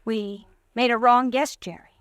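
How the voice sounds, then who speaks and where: A woman's voice: fairly high pitch, fairly even intonation, a little slow, fairly bright tone, dry recording, clean studio sound. A woman playing a worried young fiancée who pleads with her man, in a 1940s radio drama. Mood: pleading. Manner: earnest, pleading.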